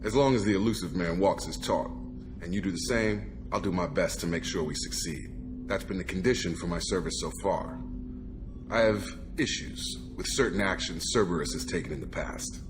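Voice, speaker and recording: Casting: male actor as guarded, principled space-navy soldier, very low voice, frank and measured game dialogue, music underneath. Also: close to an everyday voice. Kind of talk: video game cutscene voice acting.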